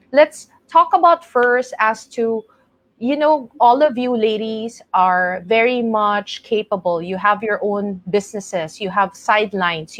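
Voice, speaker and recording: A woman's voice: mid-range voice, no room sound. Woman host from the Philippines, chatty, laughs easily, dry close mic. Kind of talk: online panel talk hosting.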